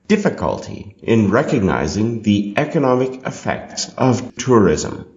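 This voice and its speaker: Slow, low-voiced man reading aloud. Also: very animated, darkish tone. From Canada. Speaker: exam passage reader. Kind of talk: scripted reading of test instructions and questions. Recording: dull, echoey room recording.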